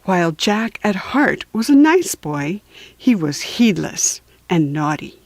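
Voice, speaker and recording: A woman reading a children's storybook aloud for beginning readers, deliberate and patient, with chatty asides to listeners. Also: fairly low pitch, very animated, no room sound. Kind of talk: slow read-aloud storybook narration for beginners.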